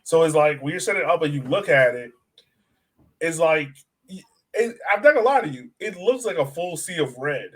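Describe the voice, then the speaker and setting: Higher-pitched man chatting on a sports podcast. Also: animated, normal speed, a bit bright. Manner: informal, enthusiastic fan talk. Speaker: podcast co-host. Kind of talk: casual sports podcast chat.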